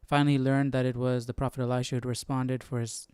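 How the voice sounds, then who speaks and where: A man's voice: mid-range voice, little pitch movement, quick pace, a little mellow, clean tone, no echo, clean studio sound. A man hosting a casual Bible study stream, musing and questioning aloud. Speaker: Bible study livestream host. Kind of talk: casual spoken reactions while studying.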